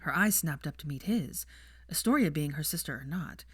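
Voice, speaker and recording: Low-voiced woman narrating a story. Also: lively intonation, moderate pace, husky, dry recording, clean studio sound. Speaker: fan fiction narrator.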